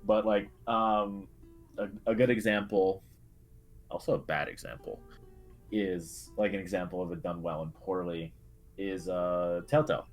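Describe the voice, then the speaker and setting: A man co-hosting a video game discussion, speaking casually and haltingly as he thinks aloud. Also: deep voice, fairly even intonation, faint music behind.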